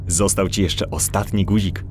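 An actor playing a helpful, proud but cautious animal inventor in a children's audio drama. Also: male voice, sits low, steady tone, moderate pace, darkish tone, a little hiss or hum, close to an everyday voice. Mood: calm, proud.

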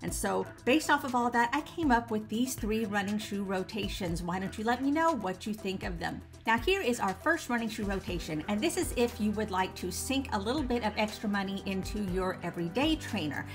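A woman in her forties hosting a running vlog, talking to camera from her living room, her voice still rough from the flu, upbeat and stressing key words. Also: medium pitch, expressive, brisk, hardly any pauses, soft background music. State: recovering from flu, voice a bit rough. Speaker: running channel host. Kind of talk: scripted vlog talk to camera. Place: living room with bookshelves at home.

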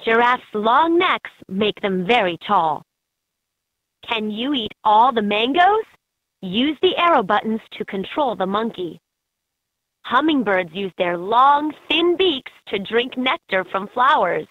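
A woman reading scripted educational narration for a children's game in an expressive, instructive way. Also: a little high, slow, dry recording. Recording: slightly dull, lo-fi game audio.

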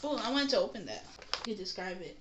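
A woman's voice: mid-range voice, very animated, a little fast. A young woman chatting casually and playfully as she shows off a shopping haul on camera in a small room. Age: young adult. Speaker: vlogger showing a shopping haul. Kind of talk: casual unboxing chat. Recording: noisy room with handling clicks.

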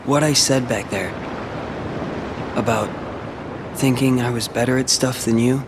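A brooding American teenage warrior in a video game cutscene, voiced by a man. He speaks in a measured, world-weary way at a medium pitch. Faint ambient noise sits behind the voice. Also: steady tone, close to an everyday voice. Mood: reflective, confiding. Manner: subdued, reflective, measured.

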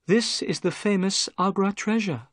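A man reading a storybook, voicing a kind, calm young woman in a raised, soft voice. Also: sits high, a little slow, dry recording, clean studio sound, voice pushed up. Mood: gentle.

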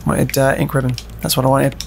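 A man's voice: mid-range voice, flat delivery, brisk, vocal fry, a little hiss or hum. A young British man giving casual, playful gameplay commentary, sweary and often repeating phrases in a sing-song way. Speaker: let's play host.

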